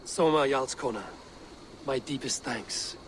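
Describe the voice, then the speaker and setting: An actor plays a steadfast Viking raider from a video game. He is plain-spoken and grave, in a medium male pitch. Clear watery background ambience runs behind him. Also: measured pace, fairly bright tone, slight background noise, close to an everyday voice. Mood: warm, steady. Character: steadfast, stoic Viking raider and clan champion. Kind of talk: dramatic video game acting.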